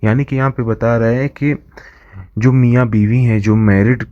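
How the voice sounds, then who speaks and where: A man with a Pakistani accent giving an informal law lesson, explaining in a halting, repetitive way. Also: medium pitch, fairly even intonation, highs cut off. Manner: halting, repetitive, slows markedly when reading English. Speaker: law lecturer.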